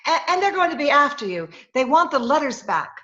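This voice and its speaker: An actress playing an urgent, pleading young dame in trouble, in an old-time radio melodrama. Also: female voice, high voice, big pitch swings, a little fast, a bit bright. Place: at home, recorded remotely. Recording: slightly dull, lo-fi remote recording.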